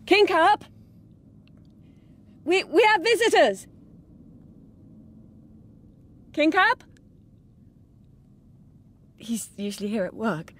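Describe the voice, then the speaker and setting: A woman playing a hospitable, placid female rabbit with a husky voice in a radio drama. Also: very high-pitched, very animated, slow, long pauses, a bit bright, heavily acted. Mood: searching, uneasy. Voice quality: husky, breathy. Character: serene, welcoming female rabbit, evasively placid.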